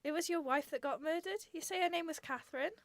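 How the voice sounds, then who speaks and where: A woman playing a flirtatious, sharp-tongued circus performer in an amateur radio drama, catty and insolent. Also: high voice, quick pace, no echo. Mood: coy, flirtatious.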